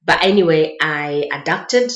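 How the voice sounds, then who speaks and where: Female vlogger, Kenyan accent, lowish voice, informal anecdotal delivery, echoey lo-fi home-style recording. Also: expressive, a little slow. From Mombasa, Kenya. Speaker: vlogger sharing personal experiences. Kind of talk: casual personal storytelling. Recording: roomy echo, dull lo-fi sound.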